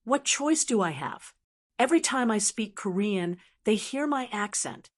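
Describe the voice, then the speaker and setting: A woman voicing an anxious young woman in an audiobook, speaking quietly and defensively. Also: mid-range voice, lively intonation, measured pace. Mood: strained. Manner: quiet, strained.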